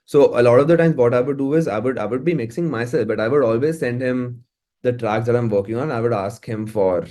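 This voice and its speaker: A man with an Indian English accent speaks in a casual interview style. His voice sits at a medium pitch, and he talks conversationally, repeating words and telling things in an animated way. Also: brisk. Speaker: music producer and musician being interviewed.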